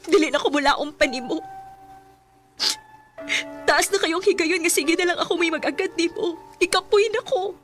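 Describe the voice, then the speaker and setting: A woman's voice: very high-pitched, moderate pace, pauses often, fairly bright tone, soft background music. An actress playing a young pregnant student who pleads tearfully with her boyfriend in a radio drama. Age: young adult. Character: young pregnant woman, student, hurt girlfriend.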